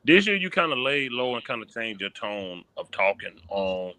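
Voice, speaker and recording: A man's voice: mid-range voice, very animated, moderate pace, pushed and bright. A man hosting a live stream, asking casual interview questions in a loose, informal way.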